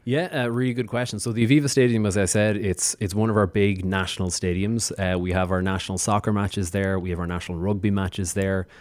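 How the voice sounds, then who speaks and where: Irish guy, deep voice, talks quick and cheery, dry close sound. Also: fairly even intonation, a little mellow, dry recording. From Ireland. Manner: upbeat, welcoming, frequent uh fillers. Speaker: guest, diplomat. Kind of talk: radio show interview.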